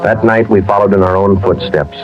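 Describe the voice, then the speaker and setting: An American actor playing a terse, weary police sergeant in a 1950s radio drama, narrating in a clipped, matter-of-fact way. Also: male voice, on the low side, steady tone, a little fast, natural voice. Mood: flat narration. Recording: dull vintage radio recording, loud music.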